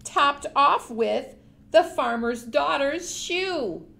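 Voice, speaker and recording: Slow, expressive woman reading to young children. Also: high voice, creaky. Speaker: children's librarian, storyteller. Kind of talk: children's storybook read-aloud.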